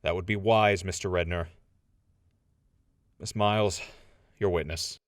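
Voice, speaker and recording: A man's voice: low-pitched, fairly even intonation, a little slow, clear, resonant tone, no echo, studio quality. A man playing a stern, exasperated judge in an audio drama, speaking with formal authority.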